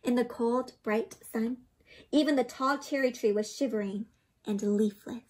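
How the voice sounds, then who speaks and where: Young lady reading a picture book to little kids, high voice, kinda breathy, explains stuff gently. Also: unhurried. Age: young adult. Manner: expressive, asks listeners questions, gentle teacherly explaining. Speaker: children's storybook reader and host. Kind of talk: interactive read-aloud for young children. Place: small indoor room with books and plants.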